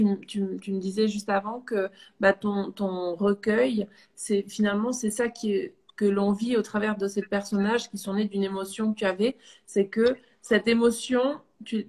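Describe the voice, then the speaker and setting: A woman host talking over a video call. She speaks in a mid-range voice and heaps enthusiastic, encouraging praise on her guest. Her speech is loose and conversational, with some restarts. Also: mid-range voice. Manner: effusive, encouraging, lots of praise. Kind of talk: live video call interview.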